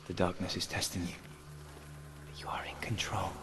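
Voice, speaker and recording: An actor playing a calm, reassuring male guide in a video game, speaking in a measured, soothing way. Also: deep voice, in a whisper, close to an everyday voice. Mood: encouraging. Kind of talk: dramatic video game acting.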